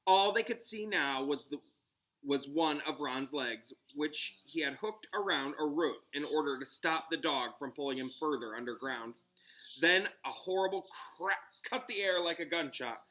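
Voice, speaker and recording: Male teacher, expressive read-aloud, dramatic storytelling, slightly high pitch, bright forceful tone. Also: moderate pace. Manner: dramatic narration, explaining asides to children. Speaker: teacher reading aloud to a class.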